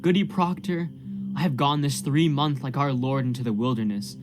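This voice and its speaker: A man's voice: medium pitch, fairly even intonation, normal speed, music in the background, natural voice. A man playing an anguished, guilt-ridden minister in a radio play, pleading fervently in formal, archaic period language. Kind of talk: period stage drama acting in a radio play.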